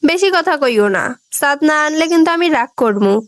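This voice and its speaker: A woman performs a stubborn young village wife from a comic cartoon, with a Bangladeshi accent. Her voice sits high and is recorded very dry. She nags and demands with a sulky insistence. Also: lively intonation, brisk, no echo. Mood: sulky, bossy. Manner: nagging, insistent.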